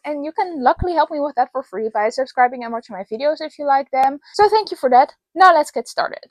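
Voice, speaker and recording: A young woman hosts a casual commentary, reading posts aloud and then explaining her view. Her voice sits a little high, and she talks in a chatty, explanatory way that jumps into emphatic bursts. The sound is dry and close, with no room around it. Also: no room sound. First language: Dutch. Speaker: video host.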